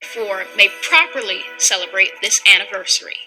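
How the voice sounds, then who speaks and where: Woman, very bright clear-cut tone, solemn oratory, measured formal delivery, faint music behind. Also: mid-range voice, animated, low-quality recording.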